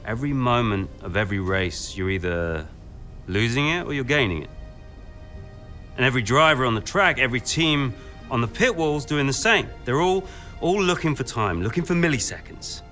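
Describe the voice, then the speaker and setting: An actor playing an earnest, driven racing team boss in a naturalistic game cutscene, informal and emphatic, sometimes halting. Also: male voice, medium pitch, lively intonation, sharp tone, soft background music. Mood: rousing, determined.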